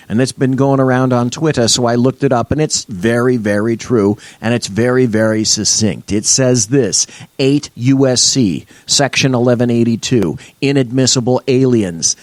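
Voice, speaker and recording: A man co-hosting a loose talk radio show, bantering and then reading out legal text in a steady, earnest way. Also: mid-range voice, dry recording.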